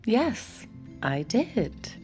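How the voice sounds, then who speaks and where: A woman's voice: fairly high pitch, sing-song, drawn-out words, a little mellow, soft background music, exaggerated voice. An actress playing a wry, guarded young woman with a secret and an anxious streak, in audio drama dialogue and narration. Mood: teasing, defensive.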